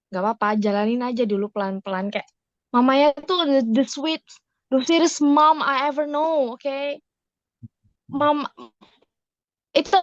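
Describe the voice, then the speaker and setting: High-pitched young Indonesian woman, slightly muffled. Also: dry recording. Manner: halting, searching for words. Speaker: podcast guest. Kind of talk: casual chat.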